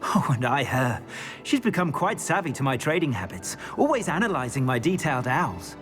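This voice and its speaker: An actor playing an anxious, earnest older wizard, a metal trader in a video game. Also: male voice, medium pitch, moderate pace. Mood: rueful, reflective. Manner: earnest, expressive, rueful. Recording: game music behind the voice.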